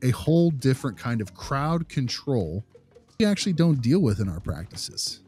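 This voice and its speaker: A man's voice: medium pitch, sing-song, moderate pace, mellow, faint music behind. A man talking through his opinions in a casual podcast discussion, repeating phrases for emphasis. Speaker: podcast co-host, behavior analyst.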